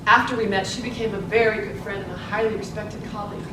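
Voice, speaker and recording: A woman's voice: fairly even intonation, fast talker, natural voice. Woman acting earnest reporter in very echoey hall. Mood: reflective. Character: earnest, eager female newspaper reporter inspired by suffrage. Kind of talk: live stage play acting. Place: large echoey room, live presentation. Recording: echoey noisy room, distant mic, low rumble.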